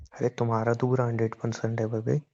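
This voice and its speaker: A man's voice: medium pitch, completely flat delivery, fast, darkish tone. A young man joking and teasing his girlfriend in a casual phone call. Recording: dull lo-fi call recording.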